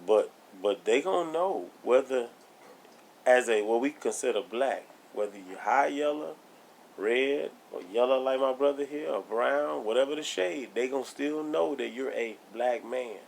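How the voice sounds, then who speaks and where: Man, gravelly, mid-pitched, blunt, argumentative, casual podcast talk. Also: unhurried, slight background noise. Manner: blunt, argumentative, laughs while making points. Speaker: podcast co-host.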